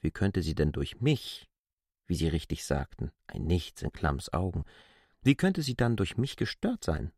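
An actor playing a stubborn, self-assured outsider in a radio drama, reasoning articulately and insistently. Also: male voice, low-pitched, big pitch swings, measured pace, a little mellow, breathy edge, dry recording, clean studio sound, full character voice. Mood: patronising, insistent. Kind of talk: literary radio drama acting.

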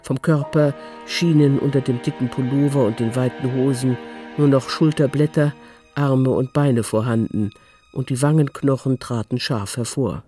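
A man delivers scripted narration for a radio crime drama. His voice sits at a medium pitch, with music playing clearly behind him. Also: fairly even intonation, normal speed, warm tone.

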